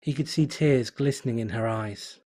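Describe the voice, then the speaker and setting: A man narrates a fan fiction story in a measured, deliberate way. His voice is husky and sits at a middle pitch. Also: mellow.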